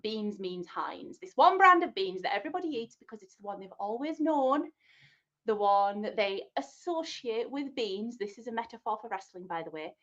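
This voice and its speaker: A woman's voice: mid-range voice, animated, normal speed, fairly bright tone. Playful, opinionated woman on a dull line. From England. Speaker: podcast guest. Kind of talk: casual interview chat.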